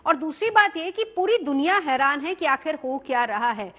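High-voiced woman news anchor. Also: normal speed, bright, forceful tone. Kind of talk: TV panel discussion questioning. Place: TV studio.

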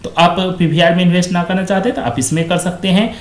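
Man, high voice, stock review host, conversational, repetitive phrasing, echoey room. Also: steady tone, quick pace. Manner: conversational, repeats stock phrases like "quite excellent". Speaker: stock market video host. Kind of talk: screen-share stock review.

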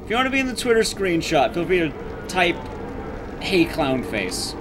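A man's voice: high voice, big pitch swings, normal speed, background noise. A young man giving casual, sweary, self-deprecating live commentary as he speedruns a video game. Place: small room at home, game sound behind.

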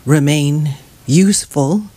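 A man reads literary prose aloud at a very slow pace. His delivery is halting and mournful, breaking into short phrases. He speaks into a stand microphone. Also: fairly high pitch, mellow. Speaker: author reading from his novel. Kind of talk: dramatic literary reading. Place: small crowded bookshop, indoors.

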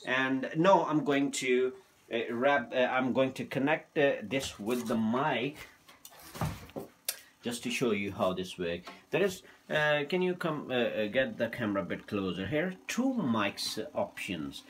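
A man presenting an informal hands-on unboxing in a hesitant, halting way, speaking non-native English in a room at home. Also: mid-range voice, animated. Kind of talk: informal hands-on product demonstration. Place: small room at home, indoors. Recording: noticeable background noise, handling clicks.

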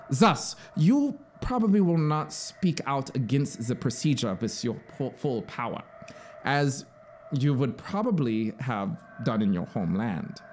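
A man's voice: mid-range voice, a little mellow, a little hiss or hum. A man playing a zealous, scheming penal colony officer in a story, emphatic and persuasive. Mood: insistent, agitated. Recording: clear background synthesizer ambience.

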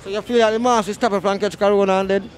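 A woman with a Jamaican accent, interviewing passersby on a city street with a handheld mic, firing off quick probing questions. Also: medium pitch, steady tone, a little mellow, faint music behind, a faint crowd. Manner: quick probing questions, show sign-off catchphrases. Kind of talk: vox pop interviewing.

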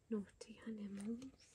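A woman reading a bedtime picture book to a child in a soft whisper, gasping and asking the child questions. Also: fairly high pitch, background noise. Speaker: bedtime story reader.

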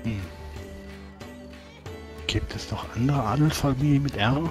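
A man playing a courteous, thoughtful gentleman adventurer in a tabletop game, speaking in a measured, formal way. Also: medium pitch, over music, natural voice. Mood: pensive, courteous.

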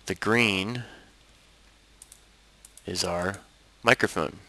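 A man narrating a software tutorial as an instructor, explaining things step by step. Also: low-pitched, drawn-out words, gravelly, dry recording.

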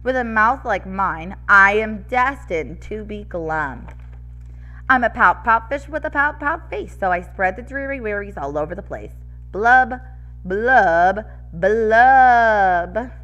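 A woman's voice: medium pitch, expressive, slow, fairly bright tone, lo-fi sound, soft background music. Woman voicing a pouting, rhyming male storybook fish. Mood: glum, resigned. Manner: mournful, chanting in rhyme.

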